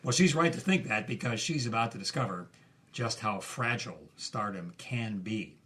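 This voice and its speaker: A man's voice: lowish voice, expressive, normal speed, fairly bright tone. A man co-hosting a podcast, telling film history in a conversational style. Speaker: podcast co-host.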